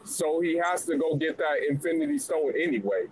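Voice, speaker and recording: A man calling in to a casual chat, measured, asking before he speaks and leaning on "you know". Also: a little high, animated, darkish tone, rough recording. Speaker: caller. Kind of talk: casual debate on a call.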